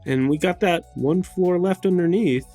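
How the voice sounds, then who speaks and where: A woman's voice: fairly low pitch, moderate pace, mellow, soft background music. A woman improvising casually in character as a tabletop game player, talking in a hesitant, meandering way.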